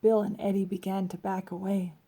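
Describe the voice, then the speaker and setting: A woman reading a children's story aloud in an expressive, narrating style. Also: mid-range voice, brisk, vocal fry, breathy edge. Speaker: storybook reader.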